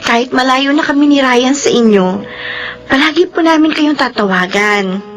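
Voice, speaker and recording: A woman's voice: high voice, moderate pace, lightly acted. An actress playing an earnest young woman in a radio drama, speaking with emotion and close to tears. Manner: emotional, tearful. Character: young woman, resentful daughter, tearful. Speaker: midwife character. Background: faint music.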